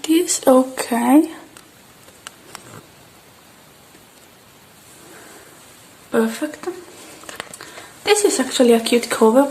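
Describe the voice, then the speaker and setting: A woman playing a gentle, polite repair technician in a soft-spoken ASMR roleplay, murmuring and sometimes whispering in an unhurried way. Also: a little high, slight background noise, low-quality recording. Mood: calm, focused. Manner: soft, unhurried, murmuring to herself while working.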